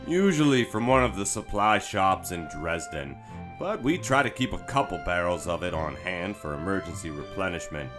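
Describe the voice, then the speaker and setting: A man's voice: low-pitched, very animated, normal speed, music in the background. A man acting as an easygoing, practical, self-deprecating spaceship crew leader in an audio drama. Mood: relaxed, explanatory.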